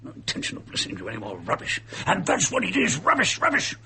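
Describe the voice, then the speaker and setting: An actor playing a cantankerous, imperious English lord in a radio drama, pompous, sputtering and dismissive. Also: male voice, high voice, wildly swooping pitch, quick pace, pushed and bright, slightly rough, heavily acted. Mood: scornful, irate.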